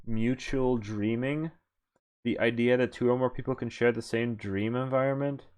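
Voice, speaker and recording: A man's voice: mid-range voice, fairly even intonation, moderate pace, a little mellow, clear, resonant tone. A man streaming a visual novel, reading the text aloud and breaking off into casual asides. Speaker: game streamer.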